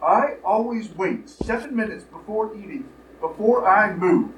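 A slow-witted, stubborn comic oddball, played by an actor in an old-fashioned radio play. The delivery is plaintive and oblivious, with wide pitch swings. It comes from a distance on a slightly noisy stage. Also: animated, reverberant, lightly acted. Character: dim, stubborn, food-obsessed phony justice of the peace. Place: live radio play performance in a room.

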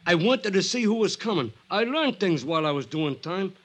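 An actor playing a nervous aging American ex-con entertainer in an old radio drama, stammering and pleading in street slang. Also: male voice, high-pitched, very animated, moderate pace. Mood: anxious, earnest. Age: older.

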